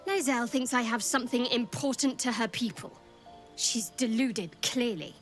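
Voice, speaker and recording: Actress doing a guarded dark cleric girl from a video game, highish voice, dry and cutting, music behind. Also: normal speed, natural voice. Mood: scornful. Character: guarded, sardonic young female cleric devoted to a dark goddess. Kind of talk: dramatic video game dialogue.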